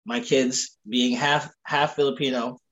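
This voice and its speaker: A man chatting casually as a podcast guest, jovial and quick to chuckle, often repeating his phrases. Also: medium pitch, steady tone, a bit bright, highs cut off. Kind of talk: casual chat.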